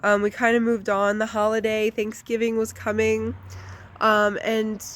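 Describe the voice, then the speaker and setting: A woman's voice: fairly high pitch, monotone, unhurried. A woman giving a candid, casual life update to her channel, chatty with lots of fillers, her voice congested from a recent flu. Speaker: vlogger.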